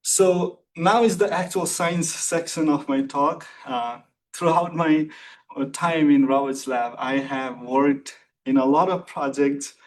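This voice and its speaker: A man's voice: on the high side, far from the mic, low-quality recording. Earnest young man from Nepal presenting. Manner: earnest, measured, frequent uh fillers. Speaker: PhD candidate presenting thesis defense. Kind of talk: formal academic presentation.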